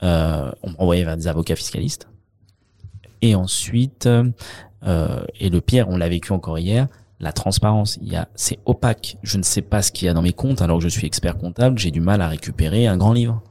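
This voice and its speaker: French male accountant guest, deep mellow voice, halting delivery full of fillers. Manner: hesitant, many fillers and restarts. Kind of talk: conversational interview.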